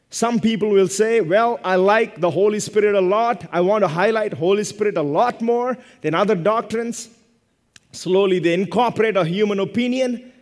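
A man's voice: high voice, darkish tone. A man in his thirties preaching as a pastor from a lectern, emphatic, in short phrases repeated for stress. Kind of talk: sermon, preaching and teaching from a pulpit. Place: church stage at a lectern, indoors. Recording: long hall reverb.

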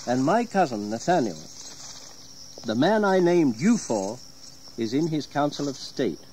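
A man's voice: fairly high pitch, very animated, a little mellow, slight background noise. Stern English father, dramatic range. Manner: grave, formal, deliberate. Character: stern, disappointed English squire, father of a wayward son. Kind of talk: dramatized audio history play.

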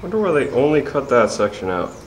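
A man's voice: medium pitch, sing-song, fairly quick, slight background noise. A man filming alone inside an abandoned prison, talking offhandedly to himself and swearing now and then as he explores. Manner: offhand, swears often, thinks aloud. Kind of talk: casual muttered commentary while exploring. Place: large abandoned prison building, indoors. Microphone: camcorder mic, speaker behind the camera. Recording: echoey concrete interior with faint ambience.

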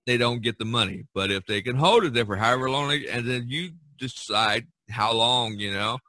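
A man's voice: medium pitch, moderate pace, pushed and bright, a little hiss or hum. An older man in casual podcast chat, jovial and often chuckling as he talks. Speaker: podcast co-host.

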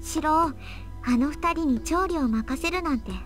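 A woman's voice: sits high, a little fast, lightly acted. A haughty, frank little girl from an anime visual novel, music playing behind her, acted by a woman. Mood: blunt, knowing. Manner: frank, unreserved.

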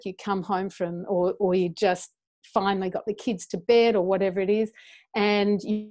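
A middle-aged woman, speaking passionately as a guest over a video call from a small room. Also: medium pitch. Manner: passionate, animated, gestures a lot with her hands. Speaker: guest educator. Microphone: earphone mic on a video call.

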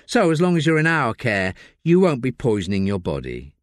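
Give me a brisk woman police detective, firm and clipped, as a man reads her. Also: medium pitch, huge pitch swings, dry recording, studio quality.

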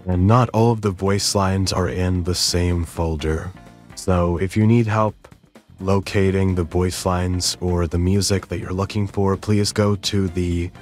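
A man with a low voice hosts a software tutorial. He explains things step by step, with music playing clearly behind him. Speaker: tutorial host. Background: background music.

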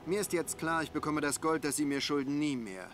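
An actor playing a cold mercenary villain in a video game, curt and coolly threatening. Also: male voice, fairly high pitch, a little fast, over music, natural voice. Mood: coldly menacing.

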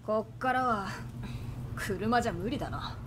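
A woman's voice: medium pitch, a little slow, noisy background, lightly acted. An actress playing a brash, playful young female mechanic in a Japanese video game, with tomboyish, teasing, slangy speech. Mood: teasing, breezy.

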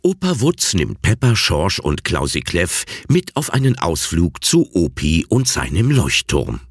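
A man's voice: sits low, wildly swooping pitch, measured pace, husky, dry recording, studio quality. A man announcing children's audio stories in scripted narration.

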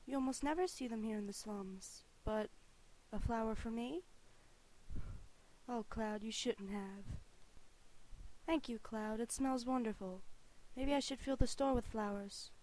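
A woman's voice: a little high, a little slow, pauses often, darkish tone, lightly acted, rough recording. A woman plays a sweet young woman in a game fandub. She speaks tenderly and earnestly, like someone caring for an old friend.

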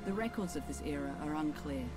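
A woman giving measured, solemn cinematic narration for a sci-fi horror video game. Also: low voice, lively intonation. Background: loud music.

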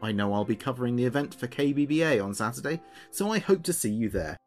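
A man playing a chatty late-night local radio DJ, with a measured presenter's delivery. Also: medium pitch, lively intonation, soft background music, close to an everyday voice. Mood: promotional. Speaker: radio host. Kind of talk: scripted late-night radio show narration.

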